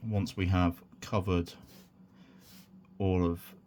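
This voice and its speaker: A man with a croaky voice from a sore throat, talking through a hobby tutorial in a relaxed, rambling way with many fillers. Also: low-pitched, slow, a little hiss or hum. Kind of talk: casual hands-on tutorial.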